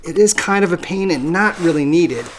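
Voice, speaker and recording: An American how-to host with a mid-pitched voice, explaining a hands-on job step by step in a casual, conversational way. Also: lively intonation, normal speed, slight background noise. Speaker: host of an automotive how-to channel. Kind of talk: casual how-to tutorial narration.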